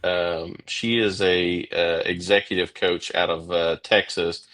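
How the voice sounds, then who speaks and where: A man's voice: deep voice, flat delivery, slow, a bit bright. Rambling man with an Oklahoma accent. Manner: rambling, many fillers and restarts. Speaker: podcast host. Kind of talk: casual interview chat.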